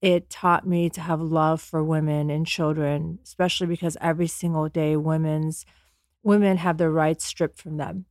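A woman, calm and earnest, telling personal stories as a podcast guest. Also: sits low, moderate pace, no echo, studio quality. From United States, born in Afghanistan. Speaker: podcast guest, company founder. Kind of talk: personal storytelling in an interview. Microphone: close podcast microphone.

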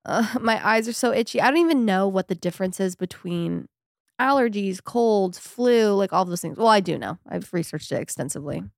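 A woman's voice: mid-range voice, animated, a little fast, vocal fry, no echo, clean studio sound. A young woman co-hosting a podcast in casual chat, sounding nasal and stuffed up from a head cold, laughing often. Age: young adult. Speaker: podcast co-host.

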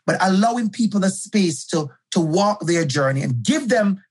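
Male guest educator, middle-aged, husky and emphatic, conversational interview delivery. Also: sits high, lively intonation, normal speed. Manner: passionate, emphatic, repeats key phrases.

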